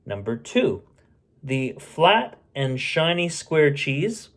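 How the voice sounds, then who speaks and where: A man's voice: a little high, sing-song, takes it slowly. A man reading a storybook aloud expressively, with casual muttered asides as he goes. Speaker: bedtime story reader.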